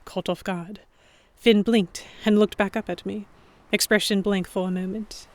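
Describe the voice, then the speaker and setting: A woman's voice: mid-range voice, steady tone, unhurried, darkish tone, dry recording, close to an everyday voice. A woman playing an anxious young noblewoman who narrates an audio drama in a fretful, tentative, introspective manner. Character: anxious young noblewoman heroine narrating her captivity among pirates. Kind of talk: first-person scripted narration in audio drama.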